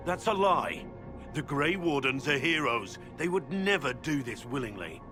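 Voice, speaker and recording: Earnest, higher-voiced indignant warrior. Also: male voice, expressive, a little slow, over music. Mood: indignant disbelief. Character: earnest, indignant male warrior defending his heroes. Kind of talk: dramatic fantasy game voice acting.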